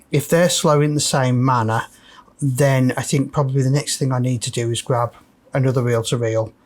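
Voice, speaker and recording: A man hosting a casual, unscripted repair walkthrough, thinking aloud hesitantly as he works. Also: mid-range voice. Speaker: host of a repair video.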